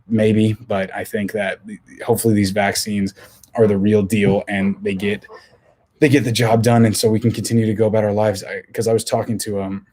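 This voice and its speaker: Young man, hoarse, husky low voice, flat delivery, rambling casual podcast talk. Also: a little fast. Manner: rambling, many restarts and filler words. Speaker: podcast host.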